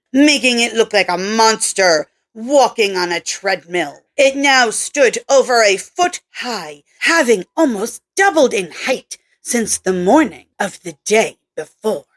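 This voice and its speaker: Female author-narrator, higher-pitched, expressive and informal storytelling delivery. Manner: informal, reading cold with stumbles.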